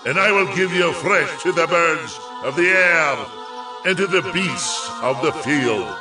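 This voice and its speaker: Slow, scornful giant warrior. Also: male voice, on the high side, expressive. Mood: scornful, menacing. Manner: slow, taunting, declamatory. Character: scornful giant Philistine warrior, menacing champion. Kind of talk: dramatized audio Bible acting. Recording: distant voice over prominent music.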